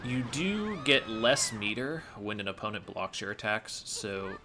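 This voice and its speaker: A man's voice: fairly low pitch, very animated, measured pace. A man giving explanatory gameplay commentary as a speedrunner, analytical and matter-of-fact.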